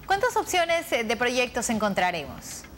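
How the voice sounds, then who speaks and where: High-voiced young woman presenting. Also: sing-song, normal speed, a bit bright, a little hiss or hum. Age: young adult. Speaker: television presenter. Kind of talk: polished broadcast interviewing and presenting. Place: television studio. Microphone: lapel.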